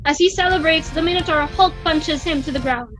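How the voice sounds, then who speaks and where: A woman's voice: high voice, fairly quick, fairly bright tone, soft background music. A woman reading stage directions as a narrator, in scripted dramatic narration.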